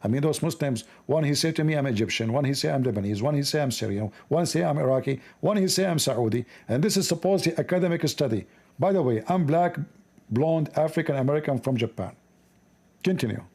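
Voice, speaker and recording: A man with a fairly high voice and an Arabic accent gives informal commentary on a text he is reading. His English reading is halting and stumbling. He is sarcastic and joking, mocking as he goes. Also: lively intonation, darkish tone, slightly rough.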